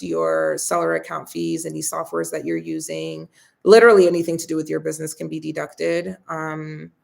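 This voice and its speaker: A young woman hosting a casual live Q&A, chatting in a friendly way as she reads viewer comments aloud and answers them, her voice getting a bit raspy from long talking. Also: deep voice. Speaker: host answering viewer questions.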